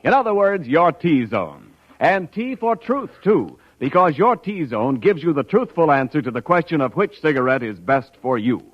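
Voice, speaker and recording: A man's voice: a little high, sing-song, normal speed. A male commercial announcer reading scripted 1940s radio advertising in an emphatic, polished manner. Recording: dull 1940s radio recording.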